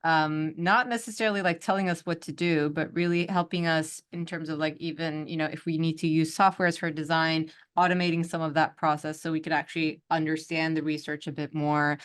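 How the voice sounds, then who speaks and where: A woman's voice: sits low, flat delivery, fairly quick, hardly any pauses, clean tone. A woman hosting a podcast who speaks casually and hesitantly, with many "um" and "like" fillers. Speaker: podcast host. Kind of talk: casual interview conversation.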